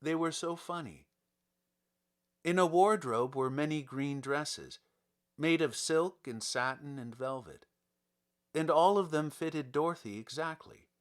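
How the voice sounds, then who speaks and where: A man's voice: fairly high pitch, measured pace. A man reading a children's storybook aloud as scripted audiobook narration. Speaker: audiobook reader.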